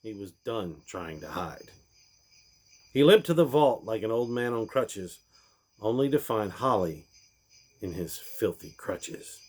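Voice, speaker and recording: A man's voice: on the low side, sing-song, takes it slowly, husky. A man reading a rhyming storybook aloud in a theatrical, emphatic manner, swelling and then dropping away at the ends of lines. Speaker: reader of a parody Christmas storybook.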